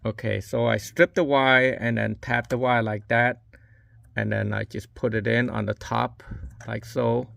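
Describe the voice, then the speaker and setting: A man gives informal, halting hands-on instructions as an installer demonstrating a repair. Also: medium pitch, flat delivery, gravelly, a bit bright, dry recording, studio quality. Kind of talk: hands-on how-to narration.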